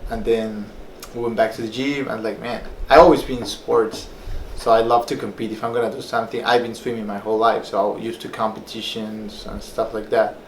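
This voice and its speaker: A man's voice: fairly low pitch, level tone, fairly quick, roomy sound, a little hiss or hum, poor audio. Young man telling his story. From Peru. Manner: loose, rambling storytelling with fillers. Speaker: personal trainer and former fighter. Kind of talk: casual personal story to camera.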